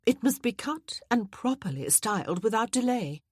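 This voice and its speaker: A woman voicing a disapproving, critical aunt who speaks slowly. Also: fairly high pitch, big pitch swings, darkish tone, breathy edge, no room sound, studio quality, voice pushed up.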